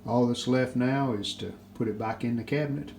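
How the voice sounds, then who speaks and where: A man with a mid-pitched voice hosts a casual repair walkthrough. He is plain-spoken and matter-of-fact, keeping his sentences short and clipped. There is a little background noise. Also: fairly quick, creaky, a little mellow. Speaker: host of a vintage radio repair video. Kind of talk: casual hands-on restoration walkthrough. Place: indoors at home.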